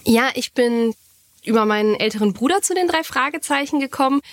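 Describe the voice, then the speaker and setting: A woman's voice: high voice, animated, brisk. Enthusiastic woman co-hosting a podcast. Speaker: podcast co-host. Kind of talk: casual chat, telling anecdotes.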